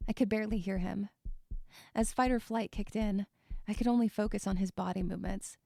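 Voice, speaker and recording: A woman's voice: mid-range voice, steady tone, a little fast, creaky, warm tone, dry recording, clean studio sound, close to an everyday voice. A woman playing an anxious, withdrawn young woman who narrates her own story in a dramatic, introspective and sometimes hesitant way. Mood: dazed, fading. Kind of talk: first-person dramatic narration with dialogue.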